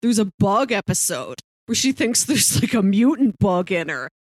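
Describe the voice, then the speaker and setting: A woman's voice: medium pitch, sing-song, moderate pace, no echo. A woman co-hosting a casual discussion of a TV series, recapping in an emphatic way and piling up phrases. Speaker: podcast co-host.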